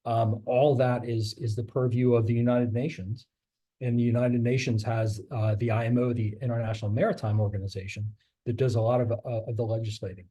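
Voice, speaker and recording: A man's voice: medium pitch, flat delivery, fairly quick, mellow, slightly rough. A middle-aged man giving a casual talk about his maritime career, loose and chatty with many fillers and restarts, and losing his voice a little. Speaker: guest speaker on a maritime career.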